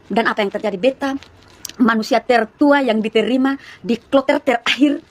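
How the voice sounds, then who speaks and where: A woman of about thirty from East Nusa Tenggara, speaking with its regional Malay accent, chatting to viewers on a phone livestream and telling her story in rapid, chatty bursts full of asides. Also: fairly high pitch. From East Nusa Tenggara, Indonesia. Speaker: live streamer. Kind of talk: casual live-stream testimony to viewers.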